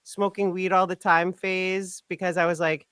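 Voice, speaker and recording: A woman's voice: lowish voice, little pitch movement, moderate pace, a bit bright, clear, resonant tone, no room sound. A woman talking candidly in a casual conversation, full of "like" fillers. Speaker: podcast host.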